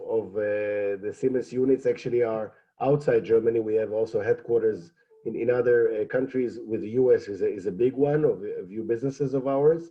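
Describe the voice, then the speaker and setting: A man whose first language is Hebrew, speaking in a measured, hesitant way with many fillers on a panel. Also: medium pitch, highs cut off. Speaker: program alumnus panelist. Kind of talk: panel discussion.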